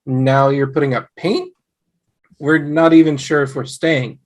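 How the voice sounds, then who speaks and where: A man's voice: fairly high pitch, steady tone, unhurried, natural voice. A man playing an exasperated, sarcastic young husband in a sitcom table read. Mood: sarcastic exasperation.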